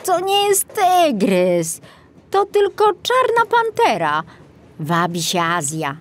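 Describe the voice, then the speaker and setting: A woman's voice: sits high, wildly swooping pitch, slow, pitched above the performer's own voice, full character voice. A woman voicing a drowsy, grumbling male forester in a children's audiobook. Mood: awakened, polite. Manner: muttering, slightly bewildered.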